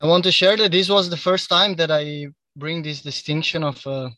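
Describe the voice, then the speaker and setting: A man's voice: fairly high pitch, level tone, normal speed, bright, forceful tone, dry recording. A man with an Italian accent, a native Italian speaker, sharing in a group hesitantly with many fillers. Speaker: workshop participant.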